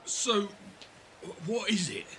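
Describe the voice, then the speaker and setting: A man's voice: high-pitched, very animated, slow pace, a bit bright, a little hiss or hum, heavily acted. An actor playing a blunt, easily exasperated male companion in a fan audio drama. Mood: uneasy. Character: exasperated, plain-spoken male companion of the hero.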